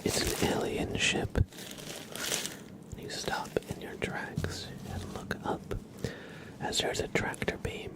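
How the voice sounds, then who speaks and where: Guy doing asmr stream, whispery and really slow, soft murmur close to the mic. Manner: slow, soothing, repeats words softly. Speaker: ASMR livestreamer, host. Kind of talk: ASMR, slow whispered roleplay and chat to viewers.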